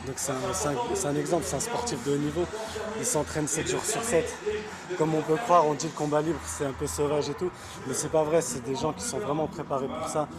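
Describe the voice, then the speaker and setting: A man being interviewed casually, explaining his points and often repeating and rephrasing as he goes. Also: fairly high pitch. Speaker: interviewee, combat sports practitioner.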